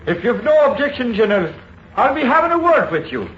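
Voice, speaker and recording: An actor playing a sly, self-assured, scruffy Irish water diviner with a folksy brogue in a 1950s radio drama. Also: male voice, extremely high pitch, big pitch swings, a little fast, a bit bright, exaggerated voice. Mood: sly, confident. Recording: muffled vintage radio recording, faint noise.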